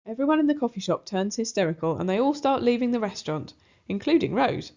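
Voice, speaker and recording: A woman's voice: fairly high pitch, animated, quick pace, a little mellow. Woman reading a children's story, slightly muffled. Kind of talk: expressive storybook read-aloud. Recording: slightly dull, muffled recording.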